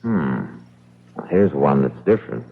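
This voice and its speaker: An actor playing an excitable, stubborn farmer husband in an old-time radio drama, speaking in an eager, halting, insistent way. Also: male voice, rumbling low, gravelly, slightly rough, narrow, tinny audio, heavily acted. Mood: awed, insistent.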